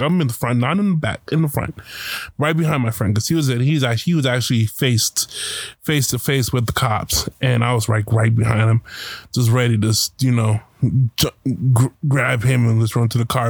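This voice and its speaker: A man telling a personal story about a protest in a casual, halting way, with many fillers and restarts. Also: mid-range voice, no echo. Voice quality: loud voice.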